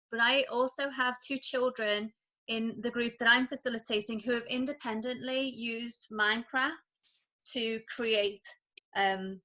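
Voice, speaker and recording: A woman talking on a video call about her children's group, effusive and full of praise. Her voice is bright and sits a little high. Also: low-quality recording. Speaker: parent and group facilitator.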